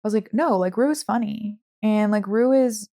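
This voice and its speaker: A woman's voice: medium pitch, normal speed, creaky, mellow. Casting: woman, podcast co-host, mid-range voice, informal book chat, speech peppered with "like". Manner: conversational, heavy use of "like".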